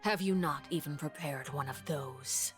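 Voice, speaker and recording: A woman's voice: low-pitched, unhurried, faint music behind. An actress playing a coolly polite noblewoman interrogator in a game, formal and silky with veiled mockery. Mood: accusing. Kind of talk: anime-style game voice acting.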